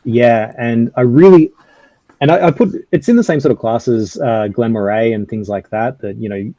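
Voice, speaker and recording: A man with a mellow, dark voice speaks in a relaxed, slightly fumbling way. The sound is dry and dull, like lo-fi video call audio. He chats casually in a roundtable. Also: medium pitch, normal speed, no echo.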